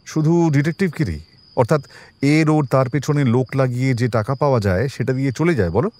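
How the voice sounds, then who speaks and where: Guy acting as calm suspicious cop asking questions, radio drama, mid voice, very dry recording. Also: mid-range voice, dry recording, close to an everyday voice. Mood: probing, composed. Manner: measured, questioning, polite but pressing. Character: composed, probing male police detective, quietly suspicious.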